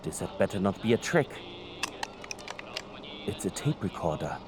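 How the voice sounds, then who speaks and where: An actor playing a cold, menacing soldier in an audio drama, speaking quietly and deliberately with sinister intent. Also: male voice, very animated. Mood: wary, subdued. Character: cold, menacing male soldier secretly serving a beast king. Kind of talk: cinematic audio drama acting. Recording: clearly noisy, vehicle rumble behind.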